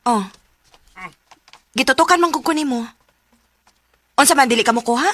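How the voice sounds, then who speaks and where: Bright-voiced devoted young Cebuano woman. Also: sits high, normal speed, dry recording. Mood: insistent. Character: Dali, devoted working daughter, young woman narrating her story. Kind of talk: radio drama acting and narration.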